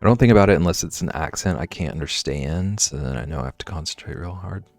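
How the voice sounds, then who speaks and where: A man's voice: deep voice, expressive, quick pace, vocal fry, darkish tone, no room sound, soft background music. A man streaming live, chatting casually and playfully with viewers while he draws. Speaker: livestreamer.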